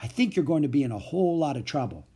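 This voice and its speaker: A man voicing a big, good-humored truck driver in a storybook, with a slow, drawn-out delivery. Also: on the high side, very animated, warm tone, husky, heavily acted. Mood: calm, puzzled.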